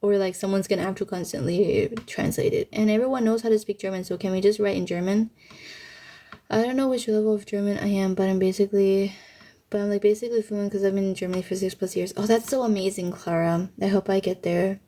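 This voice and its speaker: A woman's voice: medium pitch, steady tone, fast talker, dark tone. A young woman from Thailand casually chatting on a livestream and answering viewers, with informal, youthful slang.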